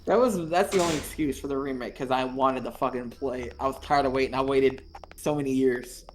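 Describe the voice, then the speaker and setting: A man in his thirties streaming a game and chatting with friends, excitable and quick to swear and laugh. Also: medium pitch. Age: 30s. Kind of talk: casual gaming stream chat. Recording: game noise clearly behind.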